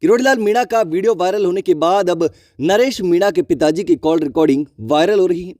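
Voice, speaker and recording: Male news presenter, high voice, dry close sound, emphatic viewer-directed delivery. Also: a little fast, darkish tone, dry recording. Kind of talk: online news commentary narration.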